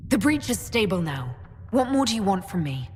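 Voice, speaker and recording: An actress playing a plain-spoken, practical young heroine in a video game, direct and understated. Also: female voice, mid-range voice, sing-song, moderate pace, a little mellow, a little hiss or hum, close to an everyday voice. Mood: weary. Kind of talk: video game dialogue acting.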